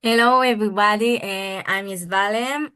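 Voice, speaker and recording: A woman's voice: mid-range voice, normal speed, pushed and bright, clean tone. A woman in her twenties who introduces herself hesitantly and loses her place. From Israel. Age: 20s. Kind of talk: self-introduction.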